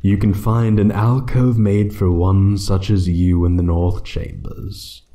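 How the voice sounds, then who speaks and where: A man voicing an ancient, playful yet menacing dragon in a fantasy ASMR roleplay, speaking theatrically and condescendingly, sometimes hushed. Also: fairly low pitch, level tone, slow pace. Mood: smug, condescending. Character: ancient male dragon, playful, menacing hoard guardian toying with intruder.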